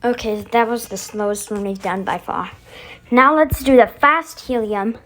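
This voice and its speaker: Girl or woman hosting her channel, kinda high voice, takes it slow, chatty demo. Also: fairly bright tone, a little hiss or hum, poor audio. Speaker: channel host. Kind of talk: casual demo of voice effects to camera.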